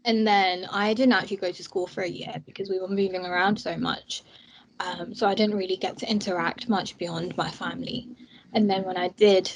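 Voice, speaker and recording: A woman's voice: fairly low pitch, fairly even intonation, normal speed, creaky, a little hiss or hum, poor audio. A young woman from Bangladesh, a student union president, chatting casually and laughing often as she tells her story. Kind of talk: casual chat while eating snacks. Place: indoors, student union room.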